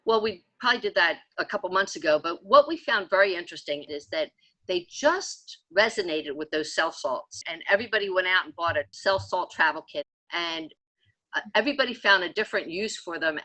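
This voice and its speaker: Older woman with a lowish voice, chatting. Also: lively intonation, fairly quick, sharp tone, no room sound, low-quality recording. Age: 60s. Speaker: podcast guest, homeopathy enthusiast. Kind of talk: conversational personal storytelling.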